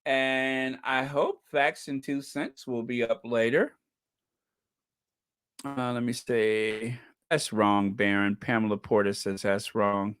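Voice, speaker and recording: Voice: mid-range voice, level tone, slow pace, clear, resonant tone. A live-stream host signing off in casual, rambling chat, joking and chuckling and calling chat viewers by name.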